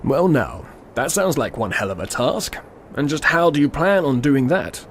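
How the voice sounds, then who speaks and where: An actor playing a calm, smug, world-ending destroyer in radio drama style, unhurried, menacing and condescending. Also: male voice, medium pitch, a little hiss or hum, lightly acted. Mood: probing. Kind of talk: old-school radio drama acting.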